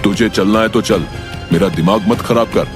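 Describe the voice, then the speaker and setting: Man playing a deep, brusque, greedy older brother. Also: moderate pace, over music, close to an everyday voice. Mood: stubborn, irritated. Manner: curt, dismissive. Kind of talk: cartoon story dialogue in Hindi.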